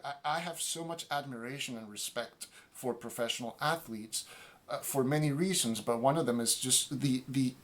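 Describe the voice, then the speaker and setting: A man of middle pitch hosts an interview over a video call, asking eager questions and often stopping on fillers and restarts. His talk is casual and friendly. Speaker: interviewer for a youth orchestra.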